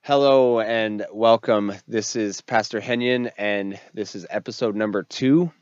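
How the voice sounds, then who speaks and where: A man hosts a faith podcast as its pastor, sick and congested, so his voice comes out nasal and a little low. He talks in a loose, rambling way, full of fillers and restarts. The recording is dull and lo-fi, with a dry sound. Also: fairly even intonation, measured pace, a little mellow, no room sound. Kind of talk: casual faith podcast talk.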